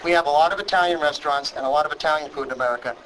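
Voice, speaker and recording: Man, Brooklyn accent, talk show host, quick talker, slightly high voice, brisk and friendly. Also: a bit bright, a little hiss or hum, low-quality recording. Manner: brisk, friendly questioning with frequent restarts. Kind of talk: interviewing guests.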